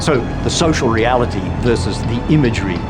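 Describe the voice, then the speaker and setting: A man giving explanatory expert commentary as in a documentary interview, with measured, emphatic phrasing and deliberate pauses, over engine and road noise. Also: lively intonation, over loud music. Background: vehicle rumble.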